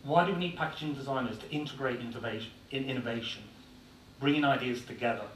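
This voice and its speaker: A man's voice: medium pitch, fast talker, fairly bright tone. Irish-born man, English accent, conference speaker, blunt and informal, lots of "uh"s, mid-range voice, picked up from a distance. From Ireland. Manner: blunt, informal, with frequent "uh" fillers. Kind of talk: conference presentation. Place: conference hall. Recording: echoey hall, distant mic, faint noise.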